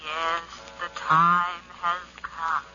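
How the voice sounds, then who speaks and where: An actor in a 1950s radio drama playing an eerie, nagging inner voice that taunts and warns insistently. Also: male voice, on the high side, completely flat delivery, very slow, sharp tone, exaggerated voice. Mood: eerie, ominous. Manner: insistent, echoing taunts and warnings. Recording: tinny, hissy 1951 radio recording.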